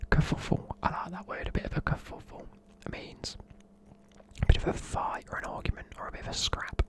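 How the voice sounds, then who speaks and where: A man, whispering softly in a chatty, rambling way while explaining words. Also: fairly quick. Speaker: ASMR creator. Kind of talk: whispered ASMR explaining slang.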